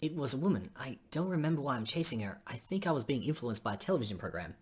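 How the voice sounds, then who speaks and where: Very low, husky-voiced woman. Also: very animated, fast, a little mellow. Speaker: streamer reading a visual novel aloud. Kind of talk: reading game dialogue aloud with casual asides.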